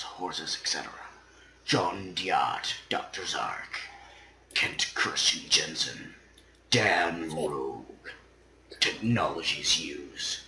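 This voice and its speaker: A man giving a comedic dramatic reading in an over-the-top theatrical manner, his voice a little hoarse. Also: sits low, sharp tone, a little hiss or hum, rough recording. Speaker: reader.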